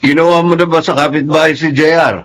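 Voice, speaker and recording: An actor playing a meek, patient Filipino husband and son in a radio drama, speaking in a mild, deferential, excusing way. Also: male voice, on the high side, fairly even intonation, fast talker, a bit bright, lightly acted.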